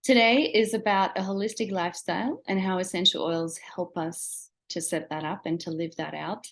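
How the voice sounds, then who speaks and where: A woman's voice: lowish voice, steady tone, normal speed. A woman from Germany, a native German speaker with a slight Australian accent, presenting a webinar in an encouraging, explanatory way and addressing the listener directly. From Germany, born in Austria. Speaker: webinar presenter, wellness seller.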